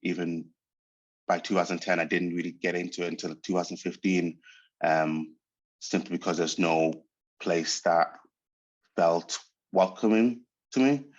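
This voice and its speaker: A man reflects on his path as an actor, pausing often to search for words. He grew up speaking Portuguese first. The sound is dull and muffled. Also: low-pitched. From Angola. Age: 30s. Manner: thoughtful, hesitant, searching for words. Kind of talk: reflective interview monologue.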